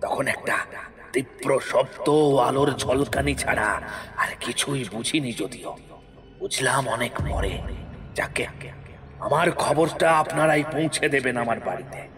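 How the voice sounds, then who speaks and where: A man playing a stern, sorrowful, quietly menacing ghost in an audio horror story, speaking in a measured, deliberate way. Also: medium pitch, lightly acted. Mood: grave warning.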